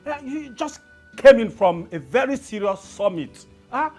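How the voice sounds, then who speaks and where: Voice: medium pitch, lively intonation, unhurried, a little mellow, faint music behind. An actor playing a royal adviser, pleading and placating.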